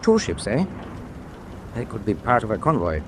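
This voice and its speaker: An actor playing a wary German tanker captain in a wartime radio drama, authoritative and given to clipped orders. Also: male voice, on the low side, wildly swooping pitch, normal speed, slight background noise. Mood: measured, watchful.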